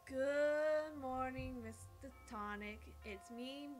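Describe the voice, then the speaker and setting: A woman's voice: sits high, slow, soft background music. A woman voicing a perky morning announcer who cheerfully delivers mock news bulletins in a visual novel.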